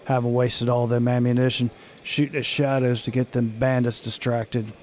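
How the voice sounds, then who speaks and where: A man's voice: medium pitch, flat delivery, moderate pace, a little mellow, slight background noise, close to an everyday voice. An actor with an American accent playing a plain-spoken, dependable rural posse man in a radio drama. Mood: dry, willing.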